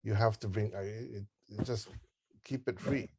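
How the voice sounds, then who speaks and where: Halting middle-aged man on a group call. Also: fairly low pitch, fairly even intonation, a little mellow, slight background noise. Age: 50s. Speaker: organiser leading a group planning call. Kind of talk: casual group call discussion.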